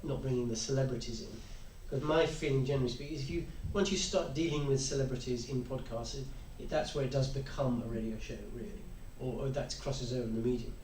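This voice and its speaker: Man, panel discussion, halting emphatic delivery, echoey room, mic far off, faint noise. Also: medium pitch, fairly even intonation, a little mellow. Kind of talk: panel discussion, opinionated explaining. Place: live convention panel room.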